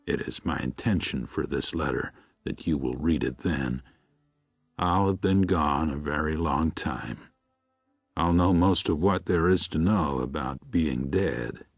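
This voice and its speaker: Very deep, husky old preacher, acted by a man. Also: dry recording, clean studio sound, exaggerated voice. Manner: measured, tender, musing. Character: gentle, reflective 76-year-old Midwestern preacher writing to his son. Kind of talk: first-person audiobook narration with acted dialogue.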